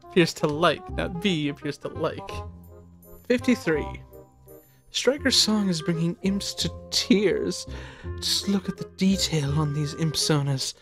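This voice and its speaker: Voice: mid-range voice, unhurried, over music. A narrator reading scripted episode commentary with casual asides. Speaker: video narrator presenting episode facts.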